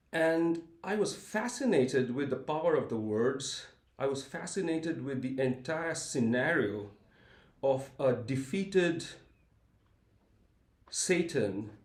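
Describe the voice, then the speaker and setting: A middle-pitched man, a professor recounting his path in a reflective interview. He talks in a considered, unhurried way, often pausing on small fillers. The room gives his voice a noticeable echo. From India (Calcutta). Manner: thoughtful and measured, with frequent hesitant fillers. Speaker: university professor, guest. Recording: roomy echo.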